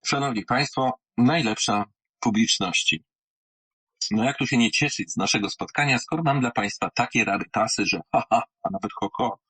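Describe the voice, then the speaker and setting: A man reading and presenting literature in a theatrical, wry manner, with deliberate pauses and a husky voice. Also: mid-range voice, pushed and bright.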